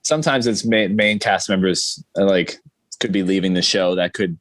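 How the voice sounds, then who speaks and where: A man's voice: low voice, normal speed, fairly bright tone. A man talking in a casual podcast discussion.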